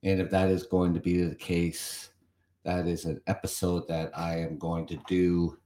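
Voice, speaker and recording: A man's voice: low voice, level tone, unhurried, dark tone, reverberant. A Canadian man hosting a solo podcast, chatting and commenting as he reads from an article, with some repetition. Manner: chatty, repeats himself, comments on what he reads. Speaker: podcast host.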